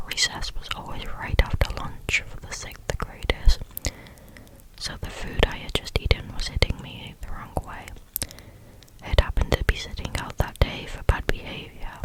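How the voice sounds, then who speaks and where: A person speaking entirely in a breathy, unvoiced whisper, reading stories aloud in a casual, conversational ASMR style. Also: poor audio. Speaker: host reading listeners' or online stories aloud.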